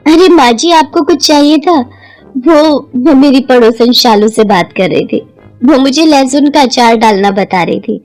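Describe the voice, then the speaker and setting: Woman acting an eager, high-pitched young daughter-in-law. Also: a little slow, darkish tone, low-quality recording. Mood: eager to please. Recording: music behind the voice.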